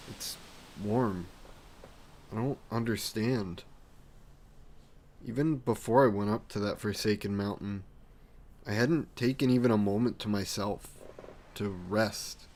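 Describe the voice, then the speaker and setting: A man voicing a grieving vampire king who talks slowly and with remorse about his past. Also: lowish voice, darkish tone, a little hiss or hum. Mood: wondering. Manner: slow, brooding, remorseful. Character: grieving regretful vampire king, former ruler. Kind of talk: audio roleplay narration.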